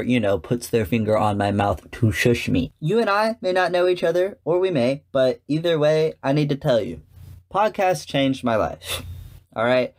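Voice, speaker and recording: A man hosting a solo podcast, giving scripted commentary with casual asides in a chatty, enthusiastic way. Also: medium pitch. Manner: chatty, enthusiastic fan talk. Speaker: podcast host.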